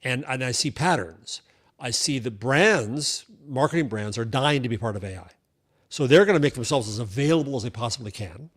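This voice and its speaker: An older man speaking as a guest journalist on a podcast, laying out an argument in a thoughtful, conversational way. He often restarts his phrases mid-thought. His voice sits at an ordinary male pitch. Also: expressive, fairly quick, a bit bright, breathy edge. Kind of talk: casual interview chat.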